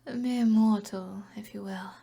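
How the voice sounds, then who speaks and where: A woman's voice: medium pitch, fairly even intonation, measured pace, warm tone. A British woman playing an alluring, commanding evil sorceress in an ASMR roleplay, half-whispering in a teasing, possessive way. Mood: seductive, confiding. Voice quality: breathy, whispery.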